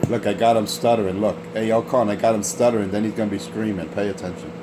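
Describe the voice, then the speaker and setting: A man with a lowish voice mocks a rival over a CB radio, boasting and repeating his taunts. The transmission is noisy and full of static. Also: steady tone, fast talker. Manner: mocking, boastful, repeats himself. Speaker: CB radio operator.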